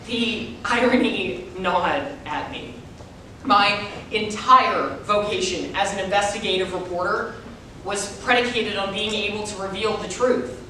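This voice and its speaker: A woman's voice: mid-range voice, measured pace. A young woman giving an emphatic, theatrical scripted performance in a reader's theater piece. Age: young adult. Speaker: student forensics competitor. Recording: echoey room from far off, loud noise.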